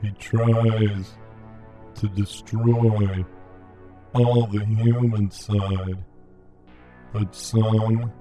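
A man playing a weary, pleading radio host turned half machine, speaking in a halting, desperate way in an audio drama. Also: on the low side, flat delivery, warm tone, faint music behind. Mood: urgent.

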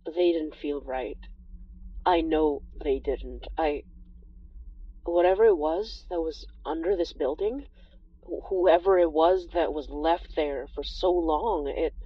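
A young woman confides her story in an audio drama, her voice sitting a little low. She is wry and haunted, talking in a hesitant, conversational way. The sound is very dry and close, slightly dulled on top. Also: slow pace, husky, no room sound, muffled recording, heavily acted. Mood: uneasy, resolute. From Philippines. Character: young Filipino immigrant woman, wry, haunted monster-fighter recounting horrors. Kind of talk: audio drama first-person confessional narration.

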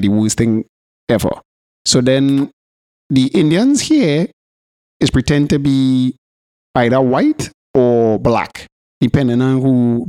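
A man's voice: mid-range voice, no echo. Casual Trinidadian man, dry close sound. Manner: animated, coarse, swearing storyteller. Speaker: podcast co-host. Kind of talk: casual banter with slang.

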